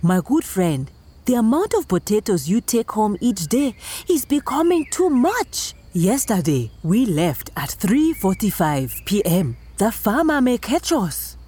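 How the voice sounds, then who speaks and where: A woman's voice: medium pitch, sing-song, measured pace, mellow, breathy edge, voice pushed up. A woman voicing a sensible, cautious dog in a children's folktale, speaking in an earnest, admonishing way. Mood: concerned, admonishing. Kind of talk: animated children's storytelling.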